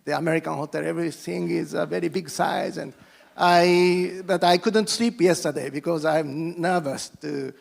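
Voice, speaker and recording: Gravelly Japanese-accented middle-aged man. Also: fairly high pitch, fairly even intonation. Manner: halting, with many restarts and self-deprecating jokes. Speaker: politician giving a guest speech. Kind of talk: formal speech in halting second-language English.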